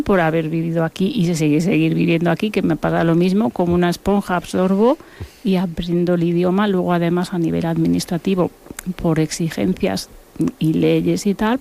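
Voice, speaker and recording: A woman from Buenos Aires, a musician and author, talking in a relaxed interview and circling back with repeated words as she thinks. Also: fairly low pitch, creaky. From Argentina (Buenos Aires).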